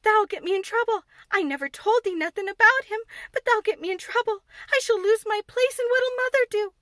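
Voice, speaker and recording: Woman reader doing a young maid: high, loud, close-miked, anxious and pleading. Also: brisk, dry recording. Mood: panicked. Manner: dialect-heavy, anxious and pleading. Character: frightened young Yorkshire maid.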